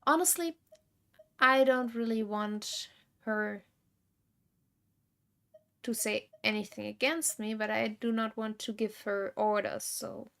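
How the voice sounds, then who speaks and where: A woman streamer comments casually on a game in a fairly high voice. She talks slowly and haltingly, reflecting out loud as she goes. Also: gravelly. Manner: halting, reflective, thinking aloud. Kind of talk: casual live gameplay commentary.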